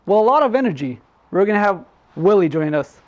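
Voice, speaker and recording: A man's voice: high voice, sing-song, brisk, phone-quality sound. A man hosting a comedic talk show in a casual, joking way, often repeating himself.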